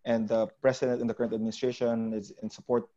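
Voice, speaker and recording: Man, Filipino accent, mid pitch, casual reflective talk, rambling, earnest, dull recording. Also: flat delivery, fast, warm tone. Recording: dull lo-fi audio.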